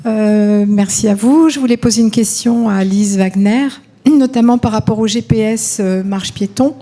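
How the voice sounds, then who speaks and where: Polite woman with a warm voice asking a question. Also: medium pitch. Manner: polite, formal, measured. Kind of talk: audience question at a conference.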